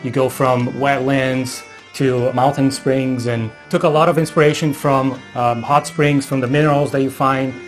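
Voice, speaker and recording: A man's voice: medium pitch, flat delivery, moderate pace, faint music behind, low-quality recording. A man speaking as an art director in a documentary-style interview.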